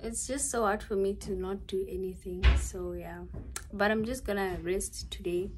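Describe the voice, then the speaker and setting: A woman's voice: medium pitch, steady tone, normal speed, background noise. A young woman from South Africa chatting casually in a vlog, giggly and thinking aloud as she goes. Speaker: vlogger.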